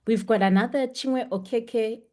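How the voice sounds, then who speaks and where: A woman's voice: a little high, a little slow, darkish tone. A young woman teaching a webinar over a video call, speaking in a chatty, encouraging way with frequent ums. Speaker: webinar instructor. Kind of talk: online lecture. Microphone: computer mic on a video call.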